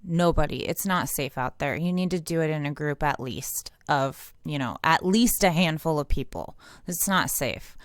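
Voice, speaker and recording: A woman's voice: low voice, lively intonation, moderate pace, no echo, clean studio sound. An American woman giving impassioned, unscripted political commentary, blunt and indignant, swearing freely. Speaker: independent online political commentator and activist.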